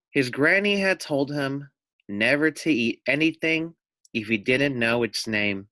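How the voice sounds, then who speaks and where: A man's voice: mid-range voice, sharp tone, no room sound. A man reading a children's story aloud like a teacher, expressively, with asides to his listeners. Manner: addresses listeners with questions and asides.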